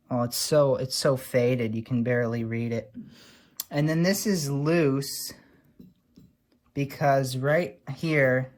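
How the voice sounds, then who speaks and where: A man with a mid-pitched voice talks casually and slowly as he shows things off. His delivery is dry and wry, an unscripted vlogger's commentary with plenty of time between thoughts. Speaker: vlogger showing old radio repairs.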